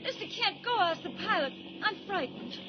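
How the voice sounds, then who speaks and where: A woman's voice: extremely high pitch, animated, moderate pace, over music. A woman playing an anxious young lady air traveler in a 1940s radio drama, on a muffled, very noisy recording. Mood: frightened. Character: anxious, eager young sister traveling by plane.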